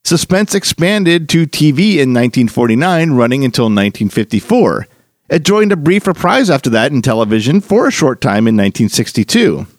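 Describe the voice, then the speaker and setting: A man's voice: medium pitch, sing-song, fairly quick, no echo. Male podcast host, close dry sound. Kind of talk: scripted introduction narration. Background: music.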